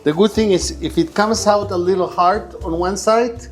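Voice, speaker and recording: Casual cooking host, male, from Egypt. Lightly raised pitch, improvising and chatty, over prominent plucked guitar. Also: steady tone, moderate pace. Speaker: home cook demonstrating a recipe. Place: kitchen, while cooking. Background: guitar music.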